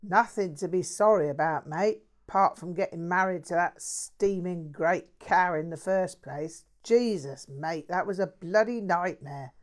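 A woman's voice: on the low side, a little slow, hardly any pauses, lightly acted. A woman voicing a crude bloke. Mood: ranting. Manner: swearing, slangy, ranting. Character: crude brash working-class London man.

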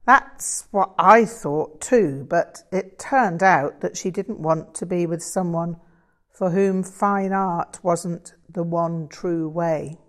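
A modest English musician and painter from a novel , voiced by a woman reader. He explains himself wryly and thoughtfully, always playing himself down, at a measured pace. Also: on the low side. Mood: wistful, resigned. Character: Vic, self-deprecating English bluesman and painter, wry, thoughtful.

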